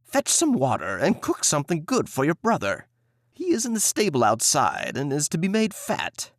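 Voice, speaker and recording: A man's voice: on the high side, wildly swooping pitch, moderate pace, no echo, studio quality, pitched above the performer's own voice. A man voicing a wicked, mocking old female witch in a storybook reading. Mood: cruel.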